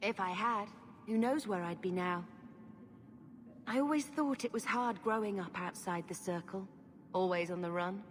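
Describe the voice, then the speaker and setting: An actress playing a devout, gentle young female mage in a video game, speaking in an earnest, heartfelt way. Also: medium pitch, normal speed, slight background noise, close to an everyday voice. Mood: reflective. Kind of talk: video game cutscene acting. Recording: echoey hall, music behind.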